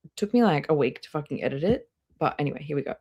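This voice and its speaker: A woman's voice: sits low, animated, fast, gravelly, dark tone, breathy edge, highs cut off. A woman hosting a casual reaction livestream, chatting directly to her viewers as she comments. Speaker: livestream host reacting to videos.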